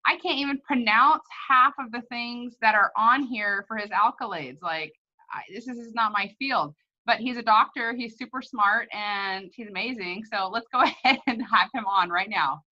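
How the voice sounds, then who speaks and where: A woman's voice: on the high side, moderate pace, pushed and bright, lo-fi sound. A woman casually hosting an online meeting in an effusive, informal way. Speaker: host of an online story-time meeting. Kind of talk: casual hosting, introducing speakers.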